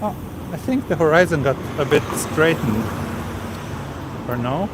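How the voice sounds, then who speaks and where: A man's voice: on the high side, expressive, unhurried. Chatty man on a street live stream. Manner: chatty, reads viewer comments aloud, hesitant fillers. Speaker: livestream walking vlogger. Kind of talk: casual live-stream chat. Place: outdoors on a quiet city street. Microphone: handheld camera with small recorder mic and wind cover. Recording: street noise around handheld mic. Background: city street ambience.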